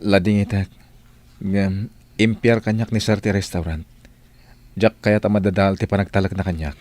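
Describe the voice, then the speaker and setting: A male actor plays a dutiful restaurant employee in a radio drama. He has a lowish Ilocano voice and sounds steady and reluctant. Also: moderate pace, close to an everyday voice. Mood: firm, reluctant. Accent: Ilocano Filipino. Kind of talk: Filipino radio drama acting.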